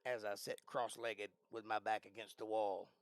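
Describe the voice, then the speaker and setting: Raspy, gravelly-voiced man chatting on a livestream. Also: fairly low pitch, level tone, normal speed, no echo. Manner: clears throat often, rambling and chatty. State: losing his voice. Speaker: livestream host. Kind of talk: casual live-stream chat. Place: small bedroom. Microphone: close desk microphone.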